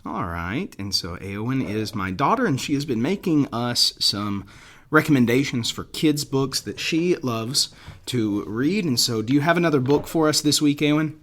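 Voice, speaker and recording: A man's voice: medium pitch, lively intonation, normal speed, fairly bright tone. A man hosting a podcast, talking in an informal, conversational way. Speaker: podcast host.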